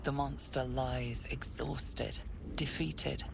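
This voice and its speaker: Dark fantasy game narrator, very deep female voice, creaky, hushed, ominous, deliberate, noisy ambience. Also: little pitch movement, heavily acted. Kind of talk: dramatic scripted second-person narration.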